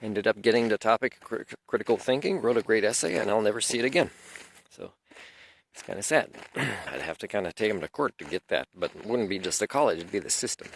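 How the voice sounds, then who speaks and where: A man whose first language is French, giving a rambling, aggrieved unscripted monologue and clearing his throat now and then. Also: lowish voice, lively intonation, fairly quick, no echo. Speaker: video lecturer.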